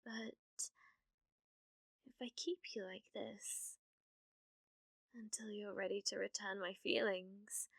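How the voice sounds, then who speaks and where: A woman's voice: medium pitch, steady tone, slow pace, vocal fry, long pauses, slightly rough, dry recording, voice pushed down, exaggerated voice. A British woman playing an obsessive, clumsy, lovestruck witch in an ASMR roleplay, cooing breathily and often slipping into whispers. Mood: smitten, scheming. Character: obsessive, clumsy, lovestruck young witch, doting yet menacing.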